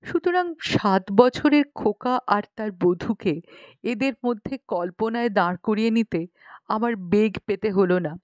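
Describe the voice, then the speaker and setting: A woman's voice: medium pitch, a little mellow, no room sound. A woman reading a literary story as an audiobook narrator, in a scripted narration style.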